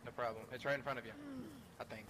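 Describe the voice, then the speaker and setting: A man talking with a fellow player over in-game voice chat, relaxed and helpful, using casual slang. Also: medium pitch, quick pace, background noise.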